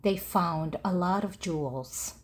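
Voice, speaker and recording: A woman reading a children's story aloud in short, deliberate phrases for language learners. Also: lowish voice. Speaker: storybook narrator.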